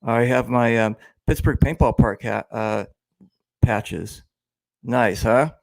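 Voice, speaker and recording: A man's voice: mid-range voice, takes it slowly, creaky, no echo. A man hosting a casual interview show, teasing his guest and rambling with lots of fillers. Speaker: podcast host. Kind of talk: casual joking interview chat.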